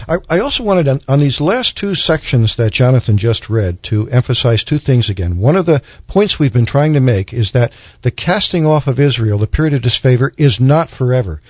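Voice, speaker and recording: A man speaking as a guest Bible commentator, explaining scripture off the cuff in a measured, point-by-point way. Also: medium pitch, very animated, no echo, highs cut off.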